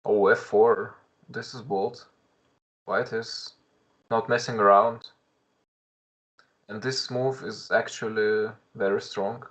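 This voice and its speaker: A man's voice: fairly low pitch, steady tone, slow pace, pauses often, fairly bright tone. A young man with a Russian accent, commentating a live chess stream in English, thinking aloud with frequent restarts and greeting viewers in chat.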